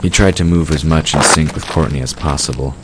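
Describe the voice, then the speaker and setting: Very deep-voiced male fantasy narrator. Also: brisk. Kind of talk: scripted fantasy audio drama narration. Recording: clearly noisy background ambience. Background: outdoor ambience sound effects.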